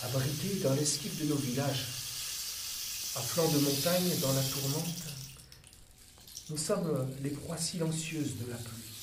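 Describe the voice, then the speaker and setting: A man reciting a poetic dramatic monologue in a measured, deliberate way, with pauses between phrases. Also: a little high. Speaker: monologue performer. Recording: roomy, distant, noisy with water-like hiss.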